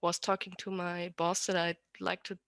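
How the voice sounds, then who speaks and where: A woman's voice: fairly low pitch, level tone, normal speed, creaky, fairly bright tone, no echo, clean studio sound. A woman with an Austrian accent chatting casually as an interview guest, often restarting and correcting herself as she explains. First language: German. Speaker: podcast guest, rigger.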